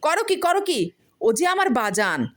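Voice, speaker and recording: A woman's voice: high-pitched, sing-song, unhurried, pitched above the performer's own voice. Woman voicing a simple, doting farmer with swooping voice. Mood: alarmed protest. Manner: pleading, affectionate, exclaiming. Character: simple, tender, devoted poor male farmer. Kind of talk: dramatized storybook reading.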